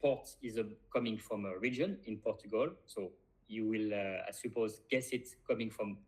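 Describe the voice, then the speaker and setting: Hesitant low-voiced man. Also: normal speed, darkish tone, breathy edge. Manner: hesitant, many fillers and restarts. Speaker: podcast co-host. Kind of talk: casual chat.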